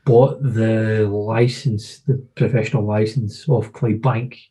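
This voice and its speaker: Scottish bloke cohosting football podcast, relaxed dark voice, just chatting casually. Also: male voice, on the low side, measured pace.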